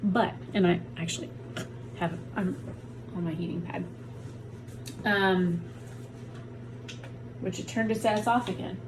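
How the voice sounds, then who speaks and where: A woman's voice: on the low side, steady tone, takes it slowly, pauses often, noisy background. A woman vlogger talking casually and frankly to the camera from home while in pain, rambling about her day. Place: at home on a heating pad.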